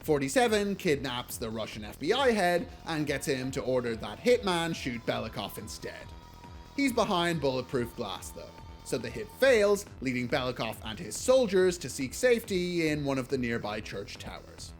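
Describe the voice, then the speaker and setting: Man narrating with lively, swinging pitch. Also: normal speed. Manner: blunt, casually sardonic, swearing. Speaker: video essayist reviewing films. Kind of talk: scripted commentary narration. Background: music.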